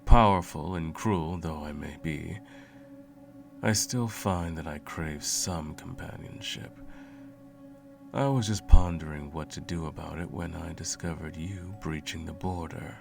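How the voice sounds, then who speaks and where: Male actor as evil sorcerer, bottomless bass, heavy gravelly fry, theatrical menace, haughty cruelty, ASMR fantasy roleplay. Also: heavily acted. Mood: musing, cruel. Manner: slow, menacing, condescending, theatrical drawl. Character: cruel, smug, all-powerful evil male sorcerer, lonely. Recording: music playing under the voice. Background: music.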